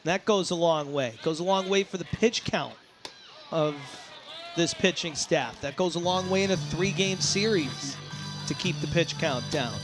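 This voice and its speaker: Guy doing baseball play by play, high voice, excitable, music behind him at the ballpark. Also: unhurried. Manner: excitable, shouts on big plays. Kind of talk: live sports commentary.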